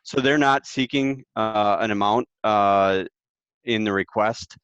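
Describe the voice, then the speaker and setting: A man with a smooth, fairly deep voice answers questions on a webinar panel. He explains carefully and haltingly, unscripted. The audio is dry and slightly dull, like an online call. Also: a bit bright, no room sound.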